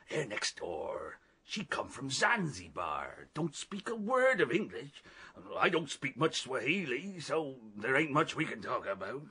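Radio drama: garrulous old man, grumbling gossip, forceful edge, very animated delivery. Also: mid-range voice, unhurried, husky, full character voice. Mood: grumbling, chatty. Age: older. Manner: gossipy, rambling, folksy dialect grammar. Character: nosy, garrulous, grumbling old male working-class neighbour.

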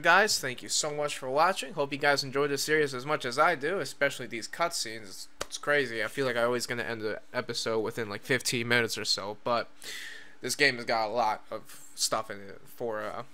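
Male gaming host, young adult: medium pitch, bright, informal commentary. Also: smooth. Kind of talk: casual let's play commentary. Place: small room indoors at home. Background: game music.

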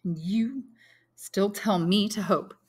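A woman's voice: medium pitch, slow, slightly rough, pitched above the performer's own voice. A woman reading aloud and voicing a lovesick young male soldier in despair, speaking with raised, impassioned pleading. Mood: anguished.

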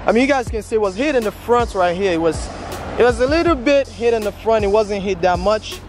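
A man's voice: sits high, lively intonation, brisk, a little mellow, faint music behind. Young man vlogging at a car lot. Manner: preachy hustle talk, repeats "man" and "bro". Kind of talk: casual vlog walkthrough. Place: outdoor car auction lot and inside a car. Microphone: handheld camera at arm's length.

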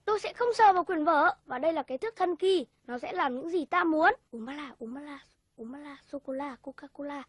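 A woman's voice: high-pitched, expressive, brisk, dry recording. A woman voicing a cocky, playful schoolboy magician in a cartoon, announcing theatrically. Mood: commanding, then chanting. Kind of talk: cartoon voice acting, stage patter.

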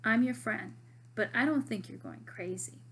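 A woman reading aloud, voicing a spirited, blunt schoolgirl who is a loyal best friend, in a lively, emphatic way. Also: a little high, normal speed, slight background noise, lightly acted. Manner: lively, emphatic storybook dialogue.

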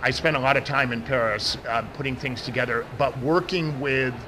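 Middle-aged American man, big voice, guest at an outdoor event interview, traffic behind, mid pitch. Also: normal speed. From United States. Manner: says he has a big voice, restarts often. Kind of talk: casual interview answers. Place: outdoor event media wall. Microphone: handheld mic held by interviewer.

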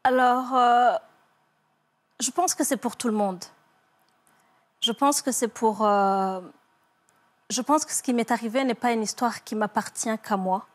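Soft-spoken woman, halting testimony. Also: a little high. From Rwanda. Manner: soft, halting, many hesitations and repeats. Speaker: guest, psychologist. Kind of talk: emotional TV interview testimony. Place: TV studio set. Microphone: lapel mic.

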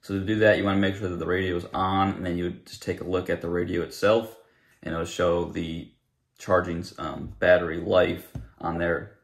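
A man's voice: deep voice, level tone, normal speed. A young adult man giving a casual, unscripted product review in a plain-spoken, explanatory way, recorded indoors in a living room.

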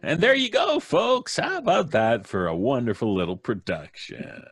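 A man's voice: mid-range voice, animated, moderate pace, vocal fry, a bit bright, slightly rough, no room sound, faint music behind. A middle-aged man hosting a live stream casually, close on a studio microphone. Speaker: podcast host. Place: small home studio.

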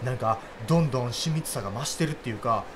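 An actor playing an excitable, lovestruck young man, cocky yet insecure, in slangy junior's speech for a comedic radio drama. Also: mid-range voice, quick pace, noisy background, lightly acted. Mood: earnest, smitten.

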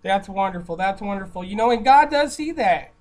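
A woman's voice: mid-range voice, expressive, fairly quick, darkish tone, close to an everyday voice. A woman putting on a chatty, folksy church outreach caller in a prank call, gushing and deferential. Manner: gushing, folksy, deferential, repeatedly saying ma'am. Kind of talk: improvised prank phone call.

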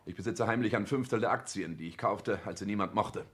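An actor in a 1960s German radio play, voicing a cold, calculating young careerist in formal, declamatory, precise speech. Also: male voice, lowish voice, a little fast, a bit bright, lightly acted. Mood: triumphant. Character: cold, calculating young male social climber turned director.

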